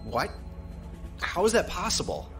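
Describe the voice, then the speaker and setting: Keen, higher-voiced young lieutenant. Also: male voice, very animated, measured pace, heavily acted. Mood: puzzled. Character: keen, questioning junior male naval lieutenant. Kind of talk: science fiction audio drama acting. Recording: music behind the voice.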